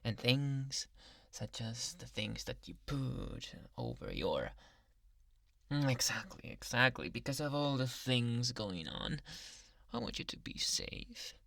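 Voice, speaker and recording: Male actor as young yandere doctor, gravelly fry, unhurried, breathy half-whispers, sweetly menacing, possessive, close-miked ASMR roleplay. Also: medium pitch, big pitch swings, a bit bright, exaggerated voice. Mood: tender, possessive. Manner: slow, breathy murmuring that slips in and out of whispers. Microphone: close microphone.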